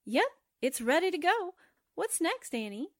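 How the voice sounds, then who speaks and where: A woman's voice: very high-pitched, very animated, a little slow, no echo, studio quality, exaggerated voice. A woman playing a folksy newspaperwoman's sidekick in a radio drama, breezy and wisecracking. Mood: breezy, cheeky.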